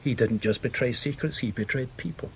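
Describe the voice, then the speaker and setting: A man's voice: mid-range voice, brisk, slight background noise, lightly acted. An actor playing a bitter, blunt veteran British intelligence man in a radio drama, with an emphatic, scornful manner. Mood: grave, emphatic.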